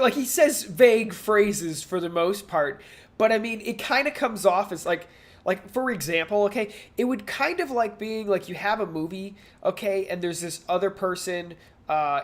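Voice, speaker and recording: A podcast co-host talks in a casual, off-the-cuff way. The delivery is hesitant and rambling, circling back over words, at an ordinary middle pitch. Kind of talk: casual pop culture discussion.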